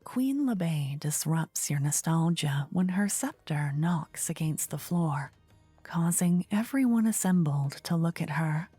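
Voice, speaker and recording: Slow, whispery woman narrating fantasy ASMR. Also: sits low, breathy edge. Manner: soft, soothing, measured. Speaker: ASMR storyteller. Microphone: close ear-to-ear ASMR microphone.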